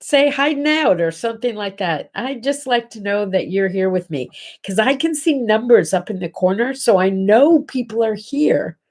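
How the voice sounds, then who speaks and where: A woman's voice: medium pitch, animated, moderate pace. Woman host, stuffy nasal voice, middle-aged, chatty, scattered, home webcam. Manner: chatty, scattered, addresses viewers directly. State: congested, nasal. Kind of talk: casual morning livestream chat. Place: home bedroom.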